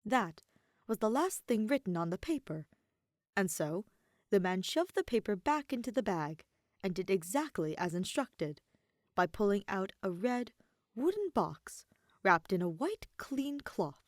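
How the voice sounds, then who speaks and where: Female audiobook-style narrator: mid-range, animated melody, measured phrasing, close dry sound. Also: mid-range voice, dry recording. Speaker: fan fiction narrator. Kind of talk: scripted story narration.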